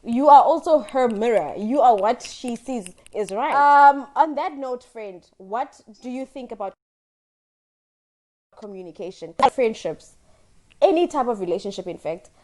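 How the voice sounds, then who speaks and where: A young South African woman hosting a video-call chat with a loud voice, earnest and switching into Zulu. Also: a little high, animated, a little slow. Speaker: host.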